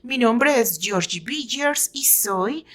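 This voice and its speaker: Formal, wistful gentleman performed by a woman, courteous, measured and halting, for a romantic audio story. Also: a little high, animated.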